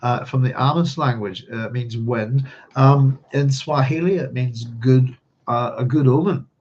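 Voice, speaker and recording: A man with a Northern Irish accent and a slightly husky, mid-pitched voice rambles through an informal read-along of a novel. He often thinks aloud as he goes. The recording sounds a little dull and lo-fi. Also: unhurried, darkish tone. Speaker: book club host.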